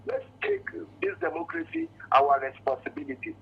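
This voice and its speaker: A man's voice: very high voice, wildly swooping pitch, normal speed, vocal fry. A Nigerian man with a Nigerian accent phoning a radio show to give his opinion, earnest and insistent, often repeating words as he goes. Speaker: radio phone-in caller. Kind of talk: spontaneous opinion on a call-in show. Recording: muffled, lo-fi phone call to radio.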